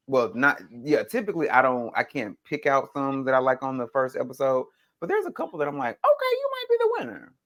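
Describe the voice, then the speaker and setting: A man talking casually on a group recap podcast, with very lively, swooping intonation and a medium pitch. His talk is slangy and loose, with some swearing. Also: brisk, hardly any pauses. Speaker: podcast panelist.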